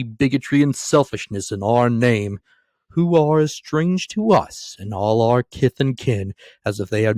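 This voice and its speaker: A booming, hearty giant Christmas ghost, as a man reads him aloud. The voice is loud and declaiming, with a dry, close sound. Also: no echo, close to an everyday voice.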